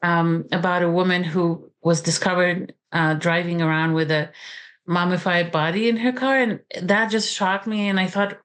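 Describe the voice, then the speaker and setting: Romanian-accented woman author, halting speech. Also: lowish voice, little pitch movement, fairly bright tone. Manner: halting, many "um"s and restarts. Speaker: author and college teacher. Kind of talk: interview guest talk.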